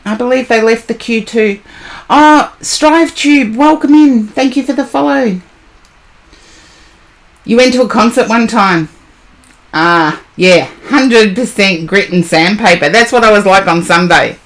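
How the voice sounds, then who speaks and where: Woman, hoarse, slightly high voice, slow casual chat, greeting viewers by name, live-stream tarot reader.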